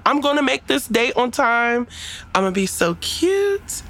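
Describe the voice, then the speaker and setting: A woman's voice: on the high side, big pitch swings, unhurried, a bit bright, heavily acted. An actress playing a sassy, outspoken young woman in a naturalistic audio drama, with slangy, emphatic delivery. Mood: playful.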